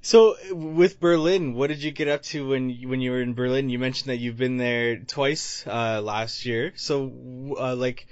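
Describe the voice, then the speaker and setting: A man's voice: mid-range voice, steady tone, normal speed, few pauses, darkish tone, no echo. Male host, casual interviewer, friendly affirmations, dead dry room. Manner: friendly, lots of short affirmations. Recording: dry, dull lo-fi recording.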